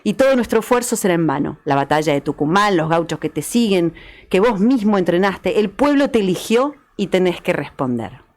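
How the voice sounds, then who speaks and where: A woman's voice: lowish voice, animated, a little fast, a little mellow, natural voice. A woman with an Argentine accent voicing an urgent, persuasive patriot advising her brother, in a dramatized radio reading.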